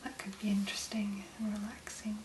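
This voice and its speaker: A woman speaking in a breathy, whispery voice, gently and unhurriedly murmuring step by step through a soft-spoken ASMR tutorial as its host. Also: mid-range voice, monotone, brisk, reverberant, noisy background.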